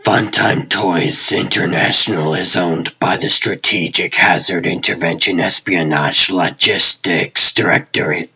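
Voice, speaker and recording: Man playing husky, commanding secret superhero. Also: deep voice, fairly even intonation, sharp tone, exaggerated voice, rough recording. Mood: probing, terse. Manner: terse, deliberate. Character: guarded, commanding male TV producer and secret superhero. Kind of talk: comic dub voice acting.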